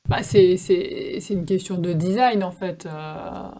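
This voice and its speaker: A woman with a slightly low voice on a podcast panel. She chats casually and haltingly, restarting while she hunts for the right words. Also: mellow. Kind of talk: casual chat.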